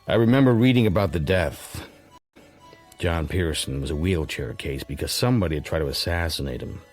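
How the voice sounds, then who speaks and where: Very deep-voiced, shrewd male narrator. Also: animated, brisk, faint music behind. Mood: wry musing. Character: shrewd, suspicious, wry middle-aged man narrating his thoughts. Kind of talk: intimate first-person radio drama narration.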